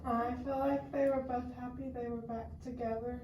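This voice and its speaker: Woman as class participant, monotone casual replies, roomy distant pickup with noise. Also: fairly high pitch, moderate pace. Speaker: student answering questions. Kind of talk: informal classroom answers. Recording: muffled, noisy, roomy, distant mic.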